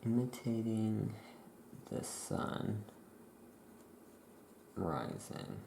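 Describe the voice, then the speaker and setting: A soft-spoken ASMR performer playing a laid-back witch shopkeeper, murmuring in an unhurried way while writing. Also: mid-range voice, sing-song, vocal fry. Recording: roomy echo, faint background noise.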